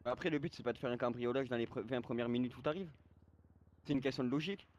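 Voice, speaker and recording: A man speaks quickly at a medium pitch, arguing his point in an online role-play game chat. He lectures like a server moderator, spelling out the rules and checking that the listener follows. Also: muffled recording, a little hiss or hum. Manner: lecturing, repeatedly checks he is understood.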